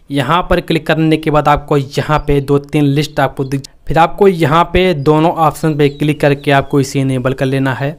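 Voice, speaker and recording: An Indian man narrating a step-by-step phone tutorial in a repetitive, instructional way. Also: fairly high pitch. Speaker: tech tutorial host.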